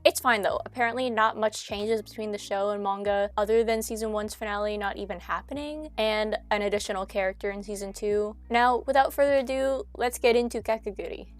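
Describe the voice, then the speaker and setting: A young woman from Canada talking through casual commentary, chatty and self-deprecating with quick asides, her voice a bit nasal from a cold. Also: mid-range voice, soft background music. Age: young adult. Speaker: commentary YouTuber.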